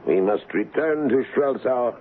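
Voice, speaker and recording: Male actor as royal colonel, loyal, excitable, theatrical, slow delivery, tinny old radio broadcast. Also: mid-range voice, lightly acted. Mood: grave, reasoning. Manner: theatrical, deliberate, emphatic. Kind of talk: 1970s radio drama acting. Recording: muffled 1970s radio broadcast, faint hiss.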